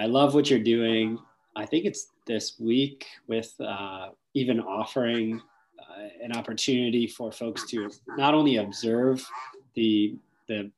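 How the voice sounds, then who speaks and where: A woman's voice: extremely low pitch, darkish tone, low-quality recording. Female host, conversational interviewer, appreciative and encouraging with the guest.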